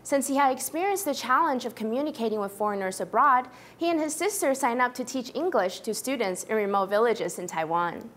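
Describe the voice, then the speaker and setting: A woman presenting news headlines in a steady, formal read. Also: on the high side, a little fast, hardly any pauses. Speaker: news anchor.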